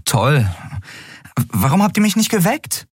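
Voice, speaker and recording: A man's voice: high-pitched, sing-song, a little slow, slightly rough, no echo, clean studio sound, voice pushed up, heavily acted. A man playing a wry young woman captain.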